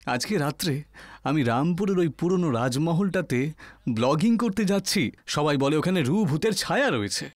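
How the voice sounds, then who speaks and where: A man voicing an excitable, reckless young ghost-hunting vlogger with a breezy, eager manner in an acted horror story. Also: a little high, normal speed, dry recording, studio quality, lightly acted. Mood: excited, coaxing. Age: young adult. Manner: breezy, eager, dismissive of fear.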